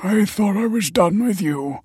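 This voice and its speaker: A man's voice: high-pitched, expressive, unhurried, darkish tone, husky, no room sound, pitched above the performer's own voice, heavily acted. A male narrator voicing a bitter, anxious man just out of prison, tense in manner. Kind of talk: audiobook character voice.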